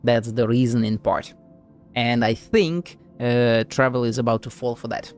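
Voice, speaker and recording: A man's voice: mid-range voice, fairly even intonation, a little slow, soft background music. A man doing casual live game-stream commentary, playfully muttering asides and echoing dialogue.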